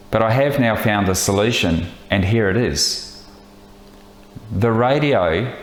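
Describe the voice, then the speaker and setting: A man narrating a vlog as a pilot, explaining technical details in a measured, methodical way. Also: on the low side, reverberant. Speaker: pilot and host of flying vlog.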